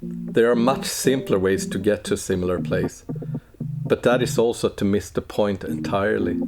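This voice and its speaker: A man in his forties narrating a scripted technical walkthrough in a measured, dry, understated way. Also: lowish voice, loud music behind the voice. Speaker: plugin developer presenting walkthrough.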